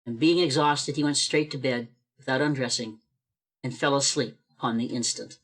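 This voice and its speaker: A man's voice: mid-range voice, fairly even intonation, moderate pace, a bit bright, slightly rough. A man narrating a radio play as a scripted storyteller.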